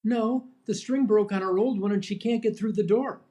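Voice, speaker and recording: A man's voice: sits high, moderate pace, warm tone, highs cut off, close to an everyday voice. An actor playing a silly, guileless young man who is easily confused, in an old-time radio comedy. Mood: earnest. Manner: guileless, dim-witted.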